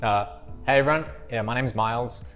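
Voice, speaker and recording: A young Australian man, a guest in a casual interview, talking in a relaxed, rambling way with restarts. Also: mid-range voice, brisk, faint music behind.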